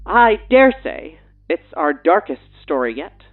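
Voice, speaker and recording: Thin radio-band sound, playful pushy woman pitching stuff casually, voice bouncing up and down. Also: very animated, unhurried, phone-quality sound. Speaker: guest showrunner and writer. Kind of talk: casual scripted promo banter on a mock radio show.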